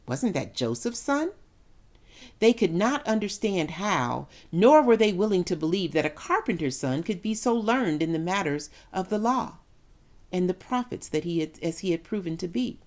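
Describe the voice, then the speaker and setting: A grieving, resentful mother in middle age, acted by a woman in a dramatic reading. Her voice sits a little low for a woman. She speaks theatrically and deliberately, weighting her words with feeling and long pauses.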